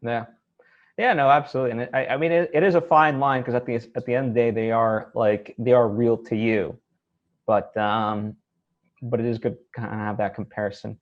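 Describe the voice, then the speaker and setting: A man's voice: medium pitch, steady tone, a little fast, lo-fi sound. A man hosting a conversational interview, feeling his way thoughtfully through long questions. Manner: hesitant, thoughtful, rambling questions. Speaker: interviewer, show host.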